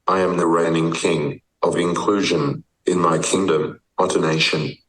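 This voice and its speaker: Deep, slow synthetic Australian male voice. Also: steady tone, fairly bright tone, reverberant, distant mic, rough recording. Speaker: guest, advocate. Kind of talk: typed text read aloud by speech software.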